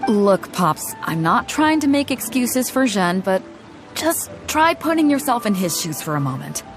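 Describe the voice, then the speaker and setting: A woman voicing a confident, easygoing female ship captain who settles disputes with an amused, relaxed manner. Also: medium pitch, unhurried. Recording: game cutscene with loud background music.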